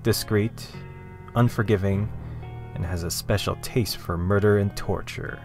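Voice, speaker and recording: Male narrator, lower register, theatrical showman delivery for dramatic storytelling, faint music underneath. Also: steady tone, unhurried.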